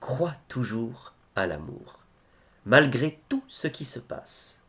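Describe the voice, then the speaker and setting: A man reciting devotional poetry in a solemn style, with measured, expressive phrasing and pauses. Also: mid-range voice.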